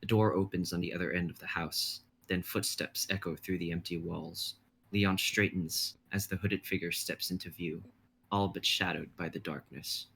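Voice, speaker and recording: A young man reading stage directions in a steady, measured way. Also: sits low, creaky, slight background noise. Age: young adult.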